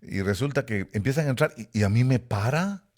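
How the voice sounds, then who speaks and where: A male preacher with a Guatemalan Spanish accent, delivering a sermon in an animated storytelling way. His voice sits at an ordinary middle pitch and jumps up sharply when he gets carried away. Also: brisk. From Costa Rica. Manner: animated storytelling with sudden rises in pitch and volume. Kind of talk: sermon with personal anecdotes.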